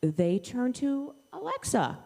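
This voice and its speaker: Lively-pitched woman addressing an echoey hall. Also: slow pace, gravelly. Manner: conversational, off-script, asks questions. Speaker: teacher leading children's time at church. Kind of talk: informal talk to a congregation with children. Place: church sanctuary. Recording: echoey hall with long reverb.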